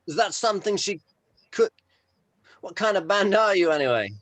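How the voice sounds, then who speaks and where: A man playing a friendly, excitable, tipsy bandmate in a script table read, breezy and exclaiming. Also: high-pitched, moderate pace. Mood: breezy, cheerful. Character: friendly, excitable, tipsy bandmate James, smoking outside pub.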